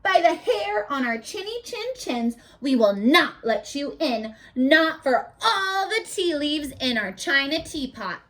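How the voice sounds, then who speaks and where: A woman's voice: sing-song, slow, hardly any pauses, fairly bright tone, heavily acted. A woman doing three scared little wolf cubs. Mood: frightened. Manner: high, pleading and tearful.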